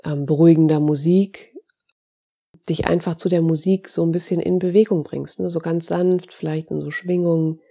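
A woman podcast host and holistic coach with a cold, her voice a little hoarse and congested, talking gently and encouragingly with many fillers. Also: fairly low pitch, fairly even intonation, moderate pace. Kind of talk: podcast monologue.

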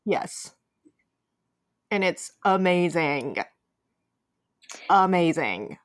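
Woman, podcast host, slightly low pitch, enthusiastic, emphatic, informal chat. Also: very animated, very slow.

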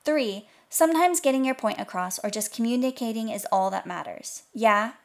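Higher-voiced woman teaching phrases. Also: lively intonation. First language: English. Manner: clear, slow repetition of foreign phrases. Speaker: language podcast instructor. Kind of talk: scripted podcast lesson.

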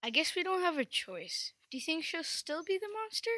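A woman's voice: very high-pitched, very animated, bright, forceful tone, dry recording, studio quality, full character voice. A female performer playing a sheepish, hesitant schoolboy in school readers' theatre. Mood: uneasy. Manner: halting.

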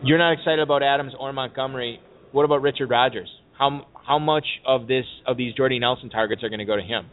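A man hosting a casual fantasy football podcast live from an event. He talks fast, jokes around and keeps the talk moving with questions. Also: medium pitch. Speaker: podcast host. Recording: phone-like narrow sound.

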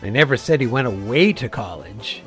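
A man's voice: medium pitch, animated, moderate pace, a bit bright, faint music behind, close to an everyday voice. A man playing a fumbling dad baffled by technology, in a comic audio drama. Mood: bumbling.

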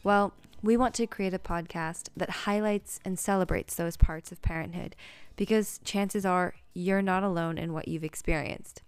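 A woman delivers a scripted podcast introduction as its host. Her voice is fairly low and very clear, with smooth delivery. The sound is dry and close. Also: fairly even intonation, normal speed, dry recording, soft background music.

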